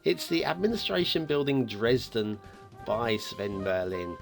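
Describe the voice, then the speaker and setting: A man's voice: mid-range voice, very animated, measured pace, creaky, soft background music. A man hosting a game map review in a chatty, playfully teasing way, commenting casually and off the cuff.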